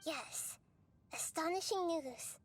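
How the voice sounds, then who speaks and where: A little girl deity from a video game, very high-voiced yet wise. The speech is calm, precise and formal, over faint music. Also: slow. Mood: thoughtful, calm. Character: small, wise, calm childlike female deity.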